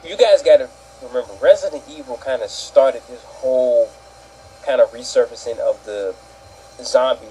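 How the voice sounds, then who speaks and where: A man of medium pitch speaks as a panelist in a casual discussion. He is colloquial and often acts out his own reactions, with faint ambient noise behind him. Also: moderate pace, warm tone, poor audio. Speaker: panelist on an opinion show. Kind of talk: casual opinion chat.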